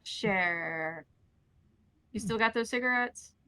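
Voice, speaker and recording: A woman voicing a gruff, blunt, familiar smoked-meat shop man who works as a shady contact in a tabletop game. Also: medium pitch, slow pace, bright, forceful tone, no room sound. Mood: friendly, familiar.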